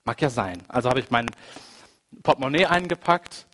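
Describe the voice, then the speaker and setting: A man with a cold preaching a sermon, conversational and full of asides, moving between quiet, reflective passages and emphatic, rising ones. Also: medium pitch, lively intonation, moderate pace. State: has a cold. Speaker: pastor. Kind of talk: sermon with personal anecdote.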